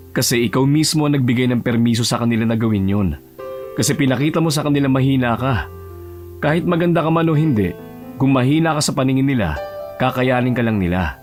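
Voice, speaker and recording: A man with a Filipino accent playing an aloof, blunt young bachelor with a calm, serious manner in an audio romance drama. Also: medium pitch, close to an everyday voice.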